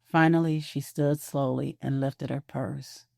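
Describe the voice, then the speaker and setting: A woman narrating an audio drama in a soft, measured storytelling voice, recorded on a close studio mic. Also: a bass voice, steady tone, vocal fry, no echo.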